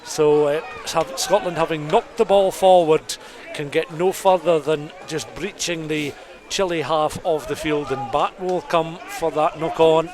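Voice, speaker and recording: A man's voice: fairly high pitch, unhurried. A man giving detailed, descriptive live play-by-play rugby commentary for radio. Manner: descriptive, detailed scene-setting. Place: rugby stadium. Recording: crowd noise behind live outdoor commentary. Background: stadium crowd.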